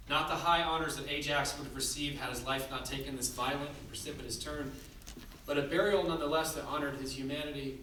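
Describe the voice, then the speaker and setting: A man speaking as director and host to a theater audience, explaining in an earnest, measured way. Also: mid-range voice, bright, forceful tone. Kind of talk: public address to a theater audience. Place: theater, addressing live audience. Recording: echoey theater, distant, noisy.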